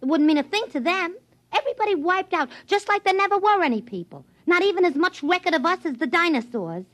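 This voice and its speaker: An actress playing a bubbly, naive young wife who gushes in slangy 1950s radio drama style. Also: female voice, extremely high pitch, expressive, fast, a bit bright. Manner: breathless and gushing, slangy.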